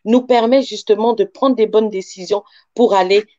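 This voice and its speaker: Woman, interview guest, mellow dark timbre, dry close sound, dull lo-fi audio, explanatory, rephrases as she goes. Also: medium pitch, fairly even intonation, moderate pace, no echo. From born African, grew up in France. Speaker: guest, sophrologist and life coach.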